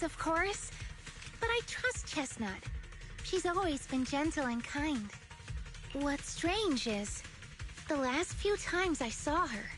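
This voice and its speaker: A woman voicing a gentle, quirky, trusting young girl in an anime video game, with an earnest, expressive delivery. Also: high voice, heavily acted. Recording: game audio under clear music.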